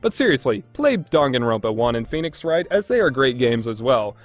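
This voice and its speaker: Guy doing a scripted game countdown review, opinionated, really up and down pitch, faint music behind. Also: big pitch swings, fairly quick. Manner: opinionated, informal. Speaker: video game reviewer and host.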